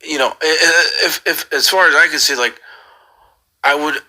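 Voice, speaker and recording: A man's voice: on the high side, normal speed, pushed and bright, poor audio. A man on a casual call, asking earnest questions in a hesitant way and often repeating his phrases. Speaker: caller.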